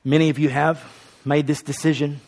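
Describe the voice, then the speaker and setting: A man's voice: a little high, level tone, normal speed, creaky, a little mellow. A male pastor preaching a sermon and leading an altar call in a church. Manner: earnest, leads a repeat-after-me prayer. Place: hot church auditorium.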